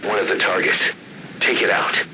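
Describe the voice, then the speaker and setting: Low-voiced military radio adviser. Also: male voice, steady tone, moderate pace, background noise. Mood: businesslike. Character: stern male military intelligence adviser giving orders. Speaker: mission radio support. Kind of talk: scripted video game radio briefing. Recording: loud game ambience behind radio voice.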